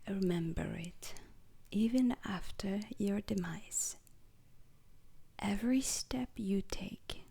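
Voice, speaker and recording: A woman plays an alien instructor briefing space recruits in an ASMR roleplay. The delivery is a breathy, husky whisper with some crackle in it. Every phrase comes slowly and deliberately, solemn and strict like a lesson. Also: mid-range voice, dark tone, full character voice.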